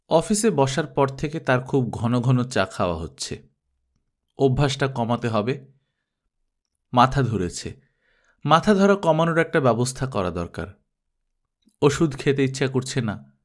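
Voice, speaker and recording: A man's voice: medium pitch, expressive, unhurried, pauses often. A man narrating a story from a script as an audiobook reader.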